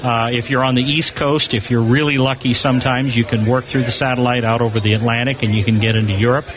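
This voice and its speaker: A man's voice: medium pitch, fairly even intonation, fast. An American man explaining things informally and enthusiastically as a spokesman in an interview. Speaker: amateur satellite organization spokesman being interviewed. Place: convention hall exhibition booth. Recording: busy crowd noise behind, convention floor. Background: crowd chatter.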